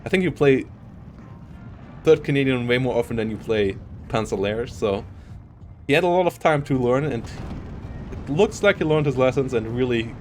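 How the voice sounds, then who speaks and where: A man's voice: medium pitch, darkish tone. Chatty male game caster over faint music. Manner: analytical, chatty, laughs often. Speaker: esports caster. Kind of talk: live game commentary.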